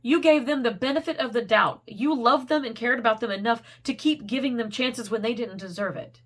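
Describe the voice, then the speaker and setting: Chatty woman tarot reader, voice going hoarse. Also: a little fast. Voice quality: getting squeaky. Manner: chatty, rambling, laughs often. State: losing her voice, getting a cold. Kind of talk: casual tarot reading to camera.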